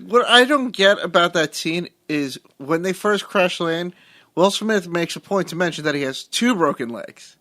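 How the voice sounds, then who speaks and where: Male co-host, high-pitched, wry, skeptical commentary on plot holes. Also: expressive, normal speed, pushed and bright. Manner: wry, questioning, picks apart plot holes. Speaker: podcast co-host. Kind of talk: casual movie discussion.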